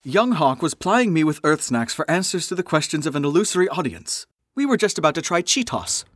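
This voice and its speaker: An actor playing a haughty, formal alien prince in an audio drama, declaiming in a pompous, elaborate manner. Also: male voice, fairly high pitch, very animated, fairly quick, a bit bright, no room sound, clean studio sound. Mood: affronted.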